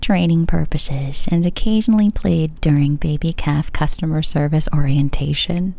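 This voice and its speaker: A woman's voice: low voice, moderate pace, vocal fry, mellow. A woman whispering a playful, pun-filled roleplay as a customer service agent.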